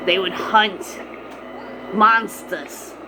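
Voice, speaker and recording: Woman playing a rambling, defiant chatty grandma, noisy line. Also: mid-range voice. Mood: gushing, rambling. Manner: rambling, argumentative, drawn-out emphatic words. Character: chatty, defiant, easily distracted grandmother, swooning over TV men. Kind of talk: prank phone call.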